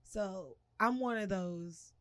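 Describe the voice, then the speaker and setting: A woman's voice: medium pitch, slow, fairly bright tone, dry recording. A woman, bluntly telling a frank personal story in casual podcast chat.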